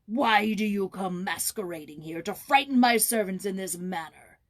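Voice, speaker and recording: A woman voicing a severe, indignant gentleman of a household in a storybook, stern and measured. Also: medium pitch, lightly acted.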